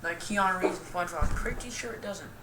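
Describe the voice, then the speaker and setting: A young woman giving casual, rambling game commentary in a self-deprecating, goofy and halting way. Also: fairly low pitch, music in the background. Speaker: gaming video host. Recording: roomy, distant mic with clear noise.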